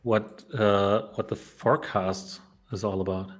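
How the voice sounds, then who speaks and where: A man's voice: on the low side, steady tone, a little slow. A man from Poland hosting a developer live stream, chatting casually with lots of fillers and restarts. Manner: rambling, many fillers and restarts.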